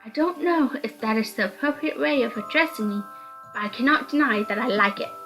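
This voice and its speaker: A woman's voice: fairly high pitch, brisk, music in the background, close to an everyday voice, poor audio. A woman playing a nervous, polite young knight in a visual novel. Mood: shy, kind. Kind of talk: visual novel character reading.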